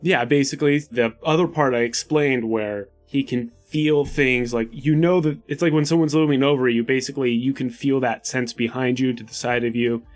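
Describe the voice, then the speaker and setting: A man's voice: mid-range voice, steady tone, normal speed, hardly any pauses. A man with a mid-range voice takes part in a tabletop roleplaying game. He talks casually and explains his ideas as he forms them, with faint music underneath. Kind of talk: casual collaborative worldbuilding talk.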